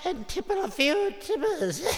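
An actor playing a cackling, tipsy old horror host with a theatrical, drawn-out, campy delivery. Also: male voice, high-pitched, bright, forceful tone, heavily acted. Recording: long cavernous reverb.

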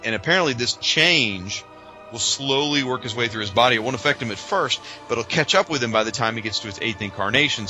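A man's voice: medium pitch, pushed and bright. A man co-hosting a podcast, talking in an informal, opinionated, chatty way as he discusses things from loose notes. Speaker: podcast co-host.

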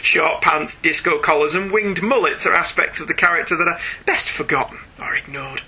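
A man hosting a podcast, chatting casually and reading out listener emails in a chatty, animated way. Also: fairly high pitch, normal speed, husky. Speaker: podcast host.

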